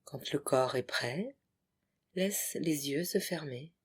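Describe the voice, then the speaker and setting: Very slow, breathy yoga nidra guide. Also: mid-range voice, expressive. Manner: soothing, hushed, with short separated phrases. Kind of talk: guided relaxation meditation.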